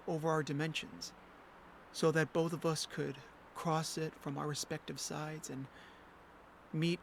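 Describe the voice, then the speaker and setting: A man's voice: a little high, gravelly, a little hiss or hum. A man playing an earnest, playful, lovestruck ordinary young guy in an indie audio drama, with a theatrically joking manner. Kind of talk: indie audio drama acting with first-person narration. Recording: music under the voice. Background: music.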